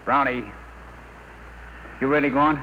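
An actor playing a wisecracking, skeptical working-class rocket technician in an old radio drama, slangy and sardonic. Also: male voice, mid-range voice, slow, narrow, tinny audio, lightly acted. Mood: skeptical, joking. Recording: noisy old radio recording.

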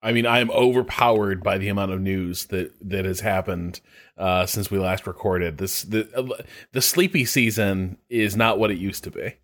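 A man with a very low voice co-hosts a podcast. He chats in a dry, wry, understated way. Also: fairly even intonation, normal speed, fairly bright tone. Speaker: podcast co-host. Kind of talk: casual chat.

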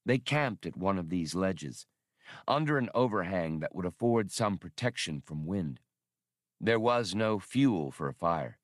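A man's voice: low-pitched, lively intonation, unhurried, no echo, clean studio sound. A man reading a novel aloud as a scripted audiobook narration.

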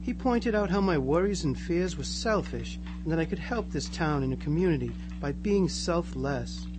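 Over clear noise and hum comes a man playing a teenage small-town boy in a live radio drama, blissfully converted and eerily placid. His highish pitch moves in wide, lively swings as he reassures with gushing earnestness. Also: normal speed, close to an everyday voice. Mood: serene, reassuring.

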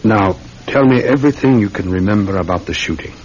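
An actor playing a dutiful, methodical British police sergeant in an old radio drama, polite and measured. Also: male voice, on the low side, close to an everyday voice. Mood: patient, probing. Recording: dull, noisy old radio recording.

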